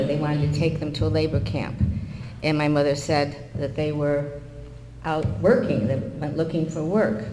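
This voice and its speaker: An older man with a soft, mellow voice tells a story from long ago, pausing now and then to find his words. The sound is roomy, with a long reverb tail and a faint hum behind it. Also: fairly high pitch. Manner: unhurried recollection with small hesitations. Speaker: interviewee recalling wartime childhood. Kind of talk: personal storytelling.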